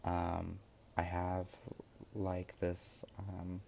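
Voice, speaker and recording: A young man with a very low, gravelly voice, talking in a loose, halting way as he works through his course notes. His tone stays flat and mellow, with frequent pauses and restarts, over a faint hiss of background noise. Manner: rambling, hesitant, many fillers and restarts. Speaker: witchcraft course student vlogger. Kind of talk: casual spoken vlog.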